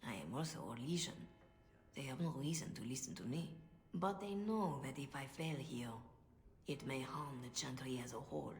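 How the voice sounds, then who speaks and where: An actress playing a calm, knowing middle-aged female cleric in a dramatic video game, measured and gentle, at times hushed. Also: very deep voice. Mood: earnest, composed. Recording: echoey room, faint music behind.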